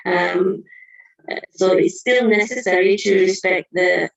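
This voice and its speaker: Woman teaching a religious lesson, earnest, gravelly voice, kinda dull lo-fi sound. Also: fairly low pitch, slow. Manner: earnest explanatory teaching, reverent honorifics. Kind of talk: Qur'an study lesson.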